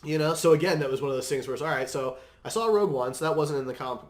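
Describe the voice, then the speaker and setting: A man's voice: fairly high pitch, rapid-fire. A man co-hosting a podcast, casually explaining his opinions on movies in an opinionated way. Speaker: podcast co-host. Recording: echoey room.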